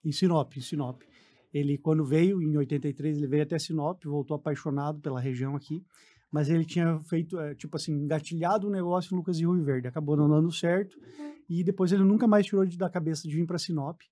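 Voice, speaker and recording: A man in his forties with a southern Brazilian accent talks as a guest in a radio interview. He tells stories in a casual way. His voice is fairly high for a man and has a soft, mellow tone. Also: steady tone, fast talker. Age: 40s. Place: radio studio.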